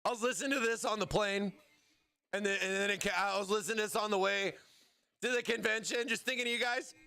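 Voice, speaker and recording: A man hosting a livestream giveaway, excitable and playful as he hypes up his chat, his voice strained from overuse. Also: sits high, fast talker, clean tone. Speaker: livestream host.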